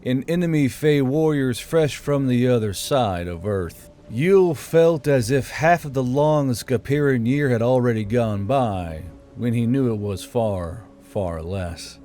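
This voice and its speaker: A man's voice: mid-range voice, measured pace, few pauses. A man narrating fantasy fiction from a script as an audiobook reader. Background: soft background music.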